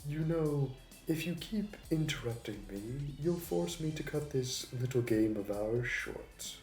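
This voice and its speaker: Man playing a slow, smug, theatrical supervillain. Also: medium pitch, expressive. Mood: smug, taunting. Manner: theatrical, taunting, drawn-out delivery. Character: suave, smug male supervillain, mocking and flirty. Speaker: voice actor. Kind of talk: theatrical audio roleplay performance. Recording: roomy echo, distant mic, music behind.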